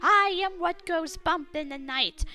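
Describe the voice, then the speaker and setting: A woman voicing an excitable female cartoon cat princess who plays superhero with theatrical, boastful exclamations. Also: extremely high pitch, a little slow, soft background music, pitched above the performer's own voice, heavily acted. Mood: mock menacing. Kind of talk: cartoon-style dramatic reading.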